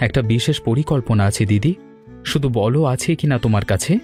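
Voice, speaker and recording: A man's voice: mid-range voice, fairly quick, a little mellow, close to an everyday voice. A man voicing an earnest, devoted grown son in cartoon dialogue, with music behind him. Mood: calm, reassuring.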